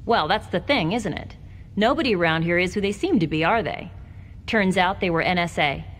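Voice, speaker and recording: Self-assured young female agent, game cutscene testimony, lowish voice, long reverb, faint noise. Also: animated, normal speed, a bit bright. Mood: measured, wry. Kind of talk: video game cutscene acting. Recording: noisy, reverberant hall, game ambience.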